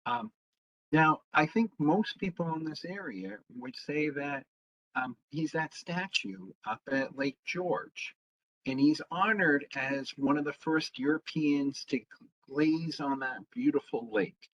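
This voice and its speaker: A man, a town historian, giving an informal public history talk, pausing often with ums and uhs. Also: fairly high pitch, a bit bright. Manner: halting, fills pauses with frequent ums. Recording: dull lo-fi sound.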